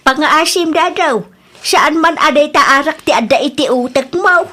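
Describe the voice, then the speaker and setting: A woman's voice: high voice, measured pace, sharp tone. A woman with an Ilocano Filipino accent playing a worried, scolding older mother in an audio drama.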